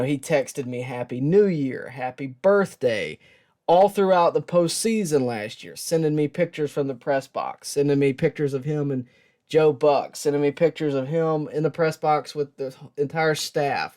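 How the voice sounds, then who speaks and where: A man hosting a sports show, giving a personal tribute in a reflective, earnest and halting way with pauses. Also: on the high side, darkish tone. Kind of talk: personal tribute talk to camera.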